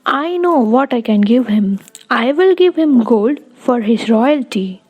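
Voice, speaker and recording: Woman playing a high, deliberate Magi king puppet. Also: lively intonation, darkish tone. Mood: proud. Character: wondering Magi king puppet in a nativity play. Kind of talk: school puppet show acting.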